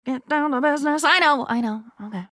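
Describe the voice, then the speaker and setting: A woman voicing a sentient diary in a video game, newly self-aware, wistful, curious and excitable, musing in a theatrical, halting way. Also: sits high, no echo, clean studio sound. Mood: giddy, rambling. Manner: theatrical, musing, halting, swinging from hushed to exclaiming.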